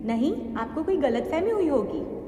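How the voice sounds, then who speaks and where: A woman's voice: high-pitched, big pitch swings, normal speed, warm tone. An Indian woman acting a haughty, threatening young social media influencer in a drama. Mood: dismissive. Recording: echoey hall, faint noise.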